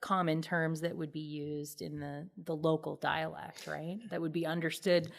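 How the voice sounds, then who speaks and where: Low-pitched woman hosting an interview. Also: flat delivery, normal speed, vocal fry. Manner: frequent short affirmations, rephrasing for clarity. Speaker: interview host. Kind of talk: conversational interviewing.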